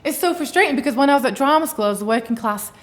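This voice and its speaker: A woman's voice: high-pitched, animated, racing. A young woman from Manchester, a playwright guest on a podcast, talking informally with frequent "like" fillers, casual swearing and wry remarks. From Manchester, England. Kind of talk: casual interview chat.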